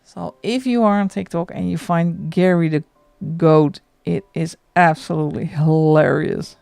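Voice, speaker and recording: Dutch woman with a gravelly voice. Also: lowish voice, fairly even intonation, a little slow, a little mellow, dry recording, soft background music. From Netherlands. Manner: chatty, laughs often, frequent restarts. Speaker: livestream host. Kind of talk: casual chat while colouring.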